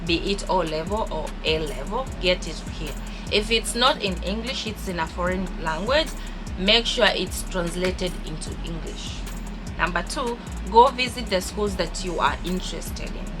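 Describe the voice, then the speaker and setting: A young woman from Kenya with a Kenyan accent, talking casually and upbeat to her channel's viewers, often repeating words as she goes. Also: lowish voice. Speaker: vlogger. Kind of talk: casual vlog talk to camera.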